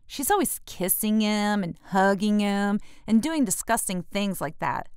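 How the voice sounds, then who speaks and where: Woman reading as an indignant, bossy big sister, clear-voiced. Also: medium pitch, dry recording, studio quality, close to an everyday voice. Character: indignant eight-year-old girl, jealous bossy big sister.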